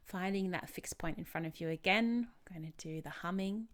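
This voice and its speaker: Low-voiced woman guiding a healing session. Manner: soothing, measured, gentle prompting with pauses. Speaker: host and therapist guiding a tapping session. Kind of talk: guided healing session, calm step-by-step instructions.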